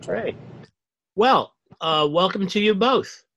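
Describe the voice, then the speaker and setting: A man's voice: high-pitched, animated, takes it slowly, gravelly, pushed and bright, a little hiss or hum, low-quality recording. A man hosting a podcast, asking guests questions in a conversational interviewing style. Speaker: podcast host.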